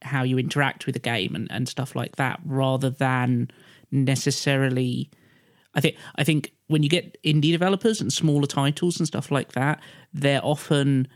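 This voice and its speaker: A man's voice: mid-range voice, steady tone, normal speed, no room sound, studio quality. A man in a casual podcast discussion, talking in a rambling way with lots of hesitant asides. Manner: hesitant, rambling, frequent you-know and like. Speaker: podcast panelist.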